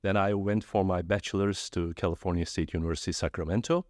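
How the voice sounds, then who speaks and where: Man, from Turkey, middle-aged, very low voice, dry close sound, friendly interview guest. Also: fast, no echo. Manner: friendly, animated storytelling with restarts. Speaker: guest, trade fair executive. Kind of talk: conversational interview.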